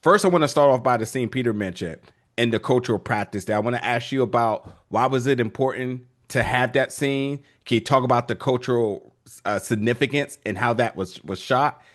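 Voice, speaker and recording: A man's voice: medium pitch, a little fast. A man interviewing film guests, rambling through long questions with many restarts.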